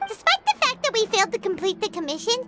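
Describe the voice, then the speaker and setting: Squeaky little floaty companion girl, teasing and excitable, super high and bouncy, game music loud behind. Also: full character voice. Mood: chatty. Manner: teasing, excitable, quick shifts. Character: tiny floating female companion, chirpy and blunt. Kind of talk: game voice acting.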